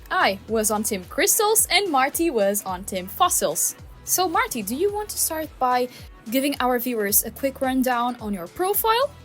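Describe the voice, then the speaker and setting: A woman's voice: high-pitched, very animated, normal speed. A woman co-hosting a casual art commentary in a chatty, encouraging, informal way. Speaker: co-host, digital artist. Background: background music.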